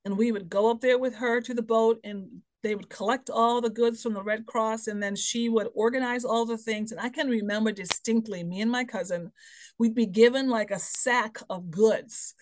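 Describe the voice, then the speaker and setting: A woman from the Bahamas, a podcast guest telling personal stories in a lively way that keeps checking in with "right?" and "you know". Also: a little high, moderate pace, no echo, low-quality recording. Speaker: guest, human potential expert. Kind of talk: podcast interview, personal storytelling.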